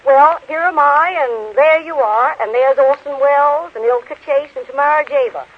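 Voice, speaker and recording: A woman's voice: high voice, normal speed. An American woman as a guest author on a 1930s radio show, telling anecdotes and trading banter. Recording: noisy, narrow 1930s radio recording.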